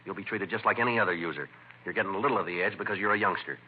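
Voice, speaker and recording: An actor playing a stern, matter-of-fact police detective in a 1950s radio drama. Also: male voice, low voice, very fast, natural voice. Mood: stern lecturing. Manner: terse, matter-of-fact, authoritative. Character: stern, clipped, no-nonsense male police detective. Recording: muffled, noisy vintage radio recording.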